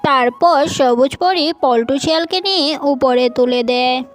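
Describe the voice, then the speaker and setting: A woman's voice: high-pitched, measured pace, soft background music. A woman reading scripted narration for a children's fairy-tale cartoon as the storyteller.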